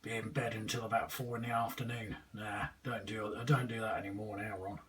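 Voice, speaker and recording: A man's voice: fairly low pitch, flat delivery, a little fast, recorded from a distance, very noisy. A young man chatting casually over CB radio, hesitant, rambling and full of slang. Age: 20s. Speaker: amateur CB radio operator testing a new radio. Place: small indoor room with radio gear.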